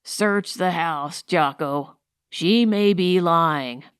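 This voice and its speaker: Woman voicing a slow, cold male outlaw boss. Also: lowish voice, smooth, no room sound, studio quality, pitched below the performer's own voice. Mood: menacing. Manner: curt, menacing, commanding. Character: tall, cold, commanding male outlaw gang leader. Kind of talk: audiobook character voice.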